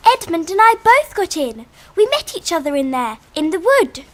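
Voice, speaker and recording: An actress playing an earnest, excitable little girl who is easily hurt, in a radio drama. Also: very high voice, moderate pace. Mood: breathless excitement.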